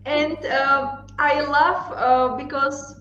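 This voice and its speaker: A woman's voice: sits high, fairly even intonation, very slow, sharp tone, echoey room, highs cut off, faint music behind. A woman hosting an interview over a video call, speaking in a friendly, hesitant way with fillers. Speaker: podcast host.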